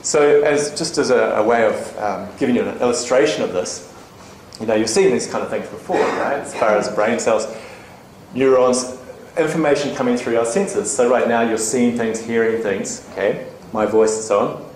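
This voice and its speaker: A man presents at a live conference, explaining ideas to an audience of educators in a relaxed, animated way. He keeps tossing in quick "right?" and "okay?" checks to keep the room with him. The sound is roomy and echoey, with a faint hum of ambience behind him. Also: medium pitch. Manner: animated, chatty, asks the audience questions, many "okay?" and "right?" tags.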